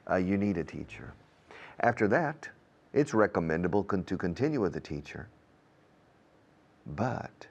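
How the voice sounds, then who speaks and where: Male teacher-presenter, native English speaker, low-pitched voice, explaining to learners emphatically and deliberately. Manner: deliberate, emphatic, repeats key words. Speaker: language teacher and programme host.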